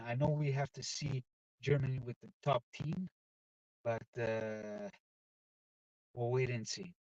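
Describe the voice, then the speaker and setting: A man hosting a casual live football chat from inside a car, talking loudly and excitedly and dropping Italian football phrases into his talk. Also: mid-range voice, dry recording. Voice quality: loud voice. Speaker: live-stream football show host. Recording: dull, distant, dry car recording.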